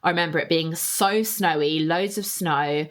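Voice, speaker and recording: A woman's voice: low-pitched, moderate pace, a bit bright. A young woman hosting a casual solo podcast, chatting in a rambling way and reading out listener answers. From England. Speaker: podcast host.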